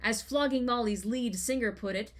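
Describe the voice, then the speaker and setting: A woman in her thirties with an American accent, reading a scripted first-person essay in an expressive, wry storytelling manner. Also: a little high, normal speed. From Los Angeles, United States. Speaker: writer reading their own personal essay.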